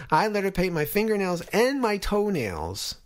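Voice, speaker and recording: A man's voice: sits high, sing-song, unhurried. Middle-aged man hosting a casual podcast. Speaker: podcast host. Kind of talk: casual reflective podcast talk.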